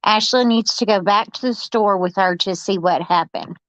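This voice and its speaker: A woman's voice: medium pitch, normal speed, a bit bright, dry recording, low-quality recording. A woman reading out viewer comments and giving her opinion on them.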